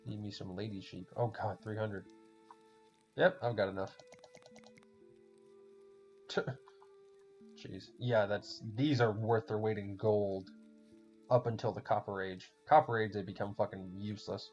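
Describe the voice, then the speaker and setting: Casual gamer host, German accent, low gravelly voice, loose swearing ramble. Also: male voice, steady tone, a little slow, long pauses, darkish tone. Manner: rambling, swears often, laughs a lot. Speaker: podcast host playing a game. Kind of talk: casual chat while gaming. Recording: dull lo-fi recording with faint music.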